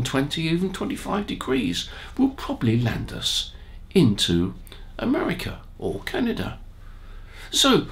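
A British man in his seventies presenting an informal explanatory lesson to camera in a measured, didactic way. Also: medium pitch. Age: 70s. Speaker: amateur radio presenter. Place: small home studio room.

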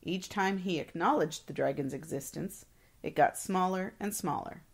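Woman, creaky fry in the voice, lowish pitch, devotional co-host, scripture reading. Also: moderate pace.

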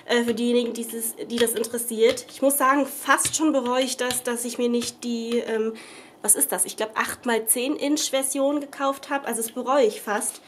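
Young woman with a cold, slightly high voice, rambling craft-tutorial chat, filler-heavy, faint background hiss. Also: fairly even intonation, moderate pace. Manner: chatty, rambling, many fillers and self-corrections. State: has a cold. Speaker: craft vlogger. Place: at a craft table at home. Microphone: camera above the table, speaker off screen.

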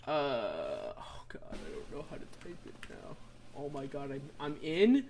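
A young man giving casual, rambling commentary while he plays a game live, excitable and joking at his own expense. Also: fairly high pitch, noisy background. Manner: excitable, rambling, self-mocking, quick laughs. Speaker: streamer.

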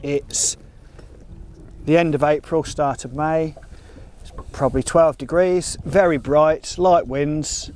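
A man's voice: fairly high pitch, steady tone, takes it slowly, a little mellow. A middle-aged man gives casual, matter-of-fact instructional commentary as an angler. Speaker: competition angler presenting a fishing film. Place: open boat on a lake, outdoors. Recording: outdoor water and boat noise.

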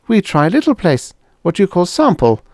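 A man's voice: sits high, huge pitch swings, moderate pace, creaky, darkish tone, no echo, clean studio sound, voice pushed up, heavily acted. A man voicing a broken-English Venusian woman. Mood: earnest. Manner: broken English, drops small words. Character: alien woman from Venus, simple and earnest.

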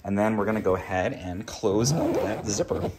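A very deep-voiced man walks through a home task in a relaxed, explanatory way. His pitch rises and falls a lot as he talks. There is faint noise behind him, as if he is filming on a phone in a playroom. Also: moderate pace. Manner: relaxed and explanatory, with fillers and small laughs. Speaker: DIY video host. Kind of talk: casual how-to demonstration. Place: indoor kids' playroom at home.

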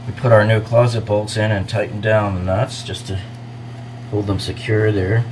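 A man's voice: on the low side, background noise. A man narrating a hands-on bathroom repair how-to, explaining each step in a practical way with casual fillers. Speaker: DIY remodeling host. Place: home bathroom, indoors.

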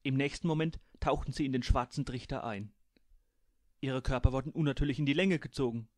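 A man's voice: medium pitch, fairly quick, dry recording. A man reading his own humorous audiobook aloud, narrating and giving each crew member a voice.

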